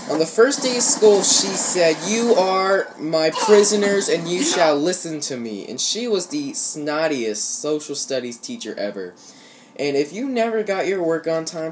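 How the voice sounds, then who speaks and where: A young American man telling casual stories over gameplay, with slangy, swear-heavy talk. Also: a little high. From Ohio, United States. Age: teenager or young adult. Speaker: gaming YouTuber. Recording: noisy home recording, gameplay sounds behind. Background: shooter game sounds.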